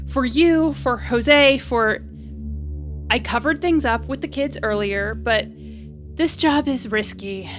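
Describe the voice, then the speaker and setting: A woman's voice: a little high, takes it slowly, fairly bright tone, faint music behind. An actress in an audio drama playing a reckless, self-deprecating young woman who rambles between joking bravado and earnest pleading. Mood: earnest, flippant.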